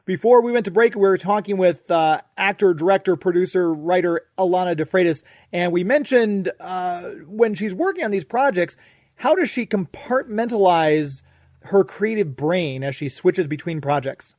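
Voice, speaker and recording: A man's voice: high voice, moderate pace, darkish tone, no echo, clean studio sound. An American man hosting a radio show in a chatty, informal, conversational way. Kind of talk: conversational ad read and interview.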